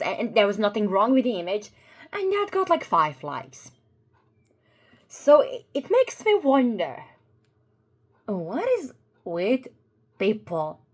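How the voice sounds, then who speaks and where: A Croatian woman whose first language is Croatian, talking slowly and deliberately through a commentary vlog. She pauses often and restarts her sentences, earnest and unhurried, seated at her desk. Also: medium pitch. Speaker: YouTuber. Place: at a desk with two monitors.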